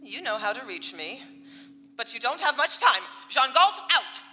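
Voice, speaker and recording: Casting: actress as haughty sci-fi arch-villainess, very sharp, forceful tone, dramatic swoops, live hall. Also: female voice, high-pitched, big pitch swings, normal speed, heavily acted. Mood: grandiose, urgent. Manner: theatrical, grandiose, biting. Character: scheming female space villainess, haughty, exasperated arch-nemesis. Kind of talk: live comedic sci-fi radio play in 1940s serial style. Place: convention hall stage before live audience. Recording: reverberant live hall, faint music.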